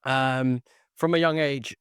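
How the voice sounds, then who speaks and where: A young man, a podcast guest whose first language was Tagalog, tells his story earnestly and in repeated phrases, checking in with "okay". His voice is smooth and clear at a mid pitch. He is recorded dry and close. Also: fairly even intonation, slow pace, a bit bright, no echo. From born near London, raised in the Philippines. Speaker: guest, sales entrepreneur. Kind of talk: podcast interview.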